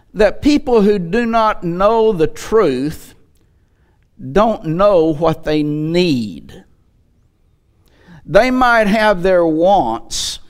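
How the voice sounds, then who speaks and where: Man in his 60s, preacher, high-pitched voice, slow pace, emphatic sermon delivery, church pulpit, headset mic. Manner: emphatic, rhetorical questions and repetition. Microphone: headset microphone.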